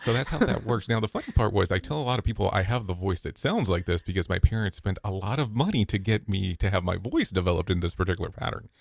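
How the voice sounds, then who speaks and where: Deep gravelly man telling stories. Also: quick pace, hardly any pauses, no echo. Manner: relaxed storyteller with frequent restarts and quote-unquote asides. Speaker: podcast guest, safety broadcaster. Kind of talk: conversational interview answers.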